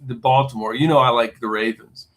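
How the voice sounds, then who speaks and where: A man's voice: medium pitch, fairly even intonation, brisk, a little hiss or hum, low-quality recording. A man co-hosting a podcast, chatting casually about football, laughing often and trailing off mid-thought. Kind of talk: casual sports chat.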